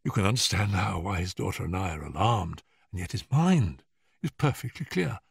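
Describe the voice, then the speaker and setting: A man's voice: low voice, expressive, normal speed, vocal fry, slightly rough, no room sound, exaggerated voice. An anxious, deferential male assistant acted by a man reading a story, hesitant and apologetic. He stammers slightly and is recorded dry and close. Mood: nervous, worried.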